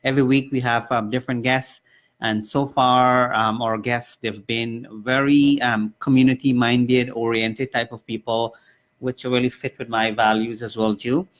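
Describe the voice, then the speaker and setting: A man's voice: mid-range voice, flat delivery, normal speed. Earnest man hosting community radio. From Guyana. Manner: earnest, rambling with many fillers and restarts. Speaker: radio talk show host. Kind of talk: live community radio chat.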